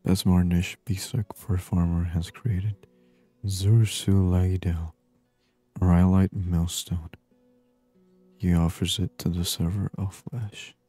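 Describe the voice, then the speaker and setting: A man doing soft-spoken ASMR gaming commentary, murmuring and musing aloud to himself close to the microphone. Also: deep voice, level tone, slow pace, husky, dry recording, soft background music. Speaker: gaming channel host. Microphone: close microphone, dry.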